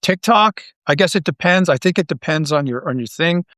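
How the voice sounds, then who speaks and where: A middle-aged man talking casually as an interview guest, thinking aloud with halting, repeated phrases. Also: a little high, lively intonation, fairly bright tone, dry recording, studio quality. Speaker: guest, audio drama writer and creator.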